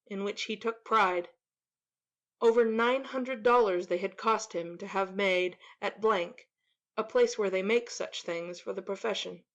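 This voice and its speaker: A woman reading a classic story aloud as an audiobook narrator, in scripted narration. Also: a little high.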